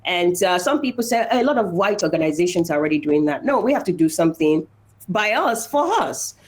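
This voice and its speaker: A woman's voice: lowish voice, expressive, a little fast. A woman with a Nigerian accent speaking as an interview guest, personal and motivational, repeating phrases emphatically for stress. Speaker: interview guest, engineer and foundation founder.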